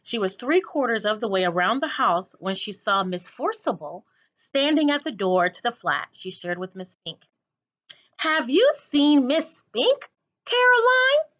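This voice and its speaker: A woman narrating a children's book aloud in an expressive read-aloud style, like a teacher to a class. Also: medium pitch, moderate pace.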